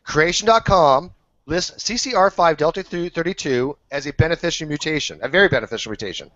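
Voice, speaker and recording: A man's voice: on the high side, expressive, a little fast, a bit bright, no room sound. A man moderating a heated live online debate, insistently pressing for direct answers. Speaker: host moderating a debate panel. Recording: dull, lo-fi online call audio.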